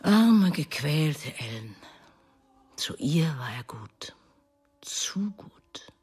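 An actress playing a bitter, wounded middle-aged mother who speaks of her estranged son in an intense radio drama monologue, moving between hushed and emphatic delivery. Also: sits low, big pitch swings, drawn-out words, husky, full character voice. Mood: sorrowful.

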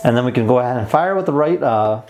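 A young man walks through a product in a casual, explanatory way. His voice is mid-pitched, and faint music plays behind him. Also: expressive, quick pace, darkish tone. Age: young adult. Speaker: tech toy reviewer and host.

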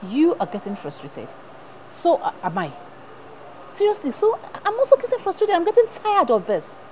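A woman plays a worn-out mother who keeps the peace between her son and his father. Her high voice leaps up and down as she scolds, then coaxes him. Her words hop between languages. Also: wildly swooping pitch, normal speed, darkish tone, slight background noise, heavily acted, low-quality recording. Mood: exasperated. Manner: code-switching, scolding and coaxing. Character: worried middle-aged mother mediating at home. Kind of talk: emotional family drama acting.

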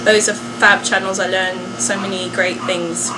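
A woman's voice: medium pitch, little pitch movement, unhurried, very noisy. A young adult woman, chatting casually and jokingly to viewers in a vlog. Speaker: vlogger and singer.

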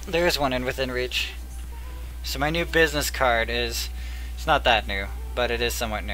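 A young man chatting casually while opening card packs, murmuring card names and getting excited now and then, his voice going hoarse. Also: medium pitch, low-quality recording. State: losing his voice. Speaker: card pack opener. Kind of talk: casual pack-opening commentary. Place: small room at a table. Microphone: headset.

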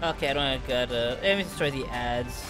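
A man's voice: medium pitch, fairly quick. Chatty streamer man with a Brooklyn accent. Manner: chatty, joking. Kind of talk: casual gameplay commentary. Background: game music.

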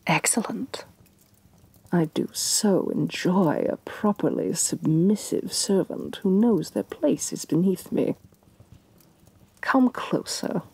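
Woman doing an evil queen for asmr roleplay, lowish breathy soft voice, super condescending and bossy. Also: expressive, takes it slowly, full character voice. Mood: smug, pleased. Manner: imperious, condescending, theatrically disdainful. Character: haughty, domineering evil sorceress queen.

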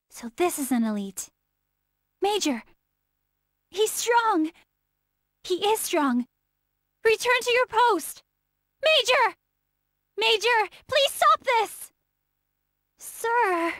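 A woman's voice: very high-pitched, no room sound, clean studio sound, full character voice. An actress playing an earnest, easily flustered young female military officer in emotional, dramatic video game battle lines. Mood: stern, formal, then urgent, determined. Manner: emotional, dramatic, swinging from polite pleas to shouts.

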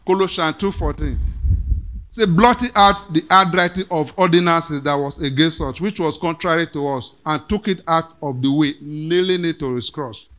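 A man's voice: on the high side, moderate pace, a bit bright. A middle-aged man preaching a sermon at a podium in a church hall, quoting scripture and asking the congregation rhetorical questions. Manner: emphatic, quotes scripture in King James wording, asks rhetorical questions. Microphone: podium mic.

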